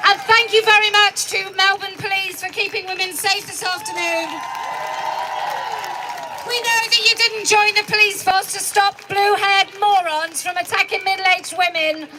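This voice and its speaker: A woman giving a defiant, blunt protest speech to an outdoor rally. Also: very high voice. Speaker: rally speaker. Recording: loud crowd and street noise.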